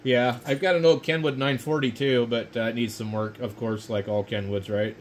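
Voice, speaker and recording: American man, medium pitch, casual ham radio chat, indoors, light noise. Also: fairly even intonation, normal speed. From United States. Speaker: amateur radio operator. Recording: faint radio hiss and room noise.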